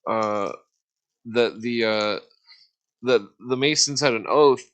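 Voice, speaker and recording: A man giving a casual, rambling explanation as host of an online discussion, halting and searching for words as he loses his thread. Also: mid-range voice, a bit bright. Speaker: host explaining esoteric symbols.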